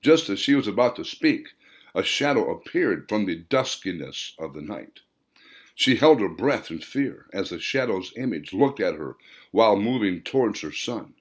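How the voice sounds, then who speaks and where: Male audiobook narrator, fairly low voice, highly animated intonation, deliberate dramatic emphasis on key words. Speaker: author reading own novel.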